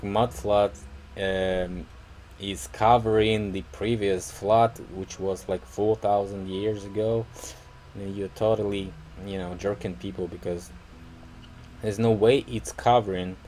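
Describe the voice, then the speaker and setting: A man with a Russian accent talking through a casual walking vlog outdoors on a city street, rambling and halting with many restarts. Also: on the low side, a little mellow, slight background noise. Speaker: vlogger.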